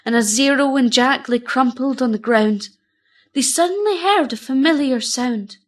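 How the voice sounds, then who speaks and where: A woman narrating a storybook poem in a theatrical, rhythmic and measured manner. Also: sits high.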